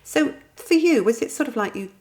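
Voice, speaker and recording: A woman's voice: high voice, animated, a little fast, a little mellow. A middle-aged woman hosting an interview show, asking questions conversationally with tentative, roundabout phrasing.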